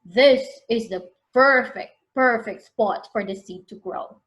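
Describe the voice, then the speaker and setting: A woman voicing a patient, friendly male farmer from a picture book, explaining with slow emphasis. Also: fairly high pitch, fairly bright tone, highs cut off.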